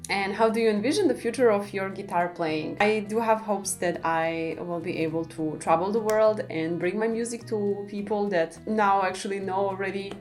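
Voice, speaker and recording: Serbian woman chatting in a relaxed vlog. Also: medium pitch, moderate pace, faint music behind. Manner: relaxed and friendly, with frequent ums and light laughs. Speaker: classical guitarist answering viewer questions. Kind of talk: casual Q&A vlog.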